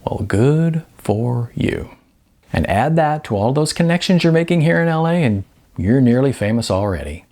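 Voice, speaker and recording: A man's voice: medium pitch, expressive, normal speed, dark tone, voice pushed up, lightly acted. A man voicing a sarcastic, argumentative young record store clerk in an audiobook, a music snob with little patience.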